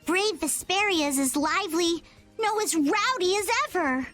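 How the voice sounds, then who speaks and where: High, quaintly solemn game youngster. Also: female voice, normal speed, fairly bright tone, soft background music. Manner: theatrical, quaint phrasing. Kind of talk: English anime game dub acting.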